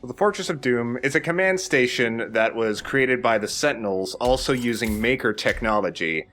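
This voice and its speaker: A man's voice: mid-range voice, normal speed, fairly bright tone. A man giving casual, enthusiastic gameplay commentary as a let's play host, with some casual swearing.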